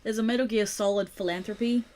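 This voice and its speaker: A woman's voice: mid-range voice, steady tone, fast talker, mellow. A woman co-hosting a podcast, talking casually as part of a group. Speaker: podcast co-host.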